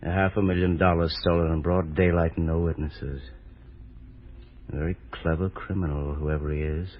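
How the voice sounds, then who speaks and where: A self-important male detective from a 1940s radio play, greedy and brooding. His voice is low and very husky, and he speaks in deliberate pronouncements over a dull old broadcast recording. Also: flat delivery. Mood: brooding, musing.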